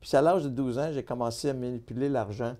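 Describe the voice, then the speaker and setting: A man's voice: mid-range voice, steady tone, fast talker, mellow. A middle-aged man, a candidate telling his life story in a casual interview, earnest and full of fillers and restarts. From born in Italy, raised in Montreal. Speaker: political candidate.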